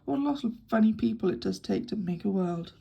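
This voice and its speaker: A woman's voice: mid-range voice, animated, a little fast, gravelly, mellow, slightly rough, exaggerated voice. A woman reading an audiobook, voicing a wry, easygoing young man working as a tour guide, in a dry, lightly ironic tone.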